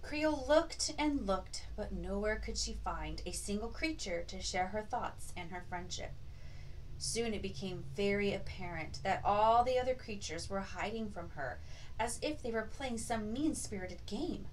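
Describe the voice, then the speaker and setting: A woman reading a children's story aloud expressively, with deliberate dramatic emphasis for young listeners. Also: medium pitch, expressive. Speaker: read-aloud host and storyteller. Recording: slightly distant mic, faint background noise.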